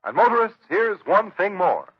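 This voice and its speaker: A man announcing and reading a sponsor's pitch in emphatic, salesmanlike 1930s radio style. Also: sing-song, normal speed, slightly rough. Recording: muffled 1930s radio broadcast recording.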